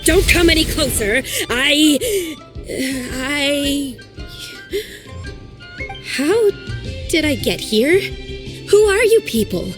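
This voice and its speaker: A woman's voice: high-pitched, expressive, drawn-out words, long pauses, a bit bright, faint music behind, heavily acted. A woman playing a bewildered, innocent young woman in a video game, flustered and pleading. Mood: frightened.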